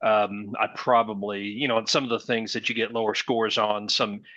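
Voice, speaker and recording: A man's voice: fairly low pitch, level tone, normal speed, bright, forceful tone, dry recording. A man taking part in an online group discussion, casually and agreeably sharing his own experience. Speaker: group participant. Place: online video call. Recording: dry, slightly dull call audio.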